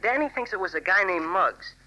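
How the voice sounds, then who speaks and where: An actor playing an eager, earnest young cub reporter in a 1940s radio drama. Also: male voice, high voice, animated, fairly quick, sharp tone, lo-fi sound, exaggerated voice. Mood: earnest, urgent. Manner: earnest, boyish, eager storytelling.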